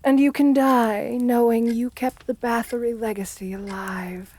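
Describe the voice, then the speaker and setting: Woman acting a slow, mellow, menacing seductive countess. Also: on the high side, steady tone. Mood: calm menace. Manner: slow, coaxing, condescending endearments, soft-spoken menace. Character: assertive, seductive, menacing aristocratic countess rumored a vampire. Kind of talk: ASMR roleplay acting.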